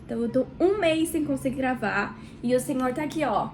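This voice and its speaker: Brief: high-voiced Brazilian woman, book club host, nasal from a cold, chatty and direct, small kitchen with a faint hum behind. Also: animated. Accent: Brazilian Portuguese. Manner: warm, chatty, addresses viewer directly with pauses. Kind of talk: casual devotional reading vlog.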